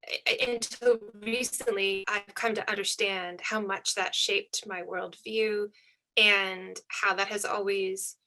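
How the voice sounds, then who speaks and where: Woman author, bright tense tone, reflective and searching, on a video call. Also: medium pitch, fairly even intonation, slow pace, poor audio. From United States. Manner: thoughtful, searching, frequent fillers and restarts. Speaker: author and panelist. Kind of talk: reflective conversation.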